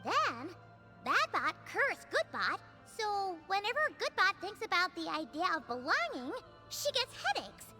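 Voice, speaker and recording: Super squeaky bright little fairy sidekick, excitable game character, bouncy delivery. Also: female voice, measured pace, soft background music, exaggerated voice. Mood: thinking aloud. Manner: refers to herself in third person, exclamatory. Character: tiny excitable childlike female fairy companion. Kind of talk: animated video game voice acting.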